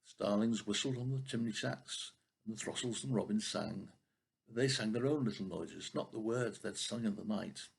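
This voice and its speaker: An older man reading a children's story expressively, with a husky voice and the odd stumble over a word. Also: fairly low pitch, moderate pace. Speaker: storybook reader.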